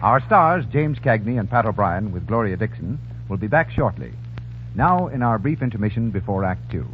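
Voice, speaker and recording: A man's voice: lowish voice, animated, normal speed. A man announcing a 1930s radio drama in scripted narration. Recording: dull, hissy 1930s radio recording.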